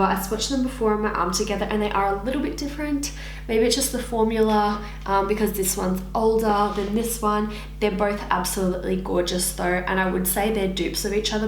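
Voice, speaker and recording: Husky young woman, chatty beauty vlog. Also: medium pitch, roomy sound, a little hiss or hum. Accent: Australian. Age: young adult. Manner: chatty, filler-heavy, animated hand gestures. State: husky, raspy voice from losing it. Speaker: beauty vlogger. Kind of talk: casual makeup review. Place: indoor room against pink backdrop.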